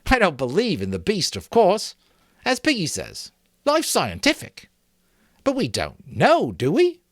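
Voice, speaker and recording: A man voicing an excitable young boy in a read-aloud story, rambling and correcting himself as he goes. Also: sits high, unhurried, no room sound, studio quality, voice pushed up, heavily acted. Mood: eager. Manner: rambling, hesitant, self-correcting.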